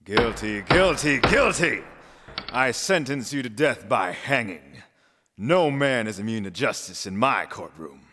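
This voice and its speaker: A man's voice: mid-range voice, lively intonation, measured pace, very noisy, lightly acted. An actor playing a stern, middle-aged judge and demanding father in an audio play, with a formal, commanding manner. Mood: thundering.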